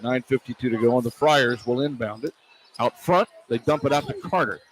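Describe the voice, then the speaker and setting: An American man doing excitable, partisan live radio play-by-play of a basketball game as the announcer. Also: mid-range voice, a little fast. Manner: excitable, partisan toward the home team. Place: courtside in a basketball arena. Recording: noisy live arena radio broadcast. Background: crowd and arena noise.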